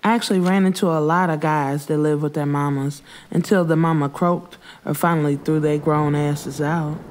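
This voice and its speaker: A woman's voice: sits low, steady tone, normal speed, darkish tone. A woman narrating first-person urban fiction in a casual, frank, streetwise way. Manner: frank, streetwise delivery with swearing. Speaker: audiobook narrator.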